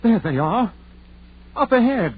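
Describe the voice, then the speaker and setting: A man's voice: extremely high pitch, very animated, a little slow, breathy edge, exaggerated voice. A man playing a fearful, superstitious rural townsman with a folksy, nervous way of talking, in 1930s radio drama style. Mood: frightened. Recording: muffled, noisy 1930s radio recording.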